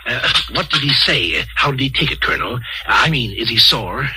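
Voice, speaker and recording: An actor playing a chubby, timid, ingratiating scientist, hesitant and nervous, in a 1950s radio drama. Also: male voice, medium pitch, lively intonation, moderate pace, very noisy, close to an everyday voice. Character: fat, chubby male scientist, timid, ingratiating, greedy eater.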